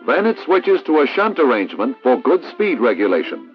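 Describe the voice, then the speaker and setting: A man's voice: mid-range voice, sing-song, soft background music. Dull vintage film soundtrack, formal American man narrating. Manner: formal, measured, didactic. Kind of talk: scripted instructional narration, 1960s training film.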